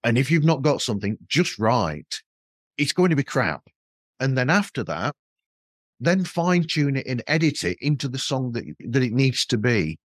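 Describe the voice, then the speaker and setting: A man's voice: mid-range voice, sing-song, moderate pace, dry recording, studio quality. A man hosting a podcast, chatting casually in a rambling, anecdotal way. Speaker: podcast host. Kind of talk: casual conversational interview.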